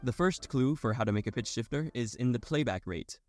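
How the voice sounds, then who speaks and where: A man's voice: medium pitch, lively intonation, fairly quick, faint music behind. A young adult man reading a scripted technical explainer as a narrator.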